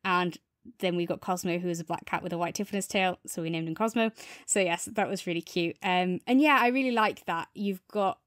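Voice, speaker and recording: A woman's voice: fairly low pitch, fairly quick, a bit bright, no room sound, clean studio sound. A woman co-hosting a review podcast, talking casually in a thoughtful, reflective and agreeable way. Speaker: podcast co-host reviewing audio drama.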